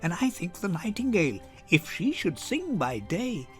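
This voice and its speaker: A man's voice: high voice, big pitch swings, moderate pace, soft background music. A man voicing a poised, reflective noble lady, refined and musing in a dramatic Shakespeare reading. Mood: serene, musing.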